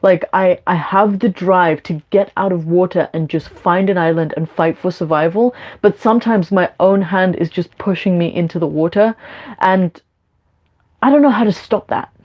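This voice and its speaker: A woman's voice: lowish voice, normal speed, darkish tone. A young woman live streaming, talking candidly and rambling with many fillers and restarts.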